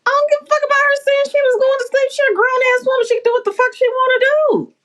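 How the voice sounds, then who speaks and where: A woman's voice: very high voice, fairly even intonation, fast, a bit bright. A brash, playful woman from the Bahamas chatting casually and swearing freely on a livestream. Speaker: livestream host.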